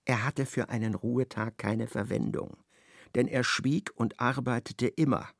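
A man narrating a literary radio drama in a measured, expressive storytelling manner. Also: mid-range voice, gravelly, no room sound, clean studio sound.

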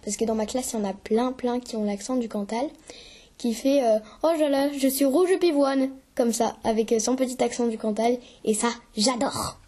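A teenage pupil speaking casually and thoughtfully, with some fillers. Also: female voice, a little high, moderate pace, mellow. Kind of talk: casual interview answers.

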